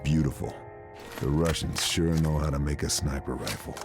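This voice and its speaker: A man playing a gruff, stoic WWII sniper who narrates a video game cutscene in a terse, matter-of-fact way. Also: rumbling low, fairly even intonation, normal speed, loud music behind the voice. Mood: terse, determined. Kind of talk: scripted first-person cutscene narration.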